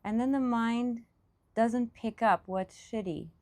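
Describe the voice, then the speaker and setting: A woman leading a guided meditation as a teacher, speaking off the cuff in reflective, halting phrases with pauses. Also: on the high side, a little mellow.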